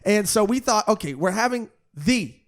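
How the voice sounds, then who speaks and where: A man with a notably high voice co-hosting a comedy podcast. He talks in an animated, giggly way and breaks into laughter often. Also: normal speed, a loud crowd. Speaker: podcast co-host. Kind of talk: casual podcast chat.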